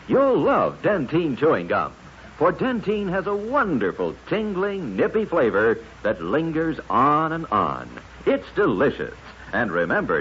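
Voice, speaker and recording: A man delivers a vintage radio advertisement with polished, emphatic selling. His intonation rises and falls dramatically. The recording is dull, with faint noise underneath. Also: medium pitch. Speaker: commercial announcer. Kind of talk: 1950s radio advertising read.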